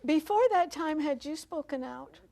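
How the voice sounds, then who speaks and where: A woman's voice: high-pitched, moderate pace, creaky, warm tone. Older woman hosting a talk show. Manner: encouraging, with frequent affirmations. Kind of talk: interviewing a guest.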